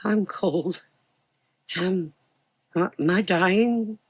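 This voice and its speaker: Casting: adult male playing frightened young coachman, high-pitched, trembling, stammering and pleading, for gothic horror audio. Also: slow, husky, dry recording, full character voice. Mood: trembling, sorrowful. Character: terrified young coachman boy, pleading and guilt-ridden.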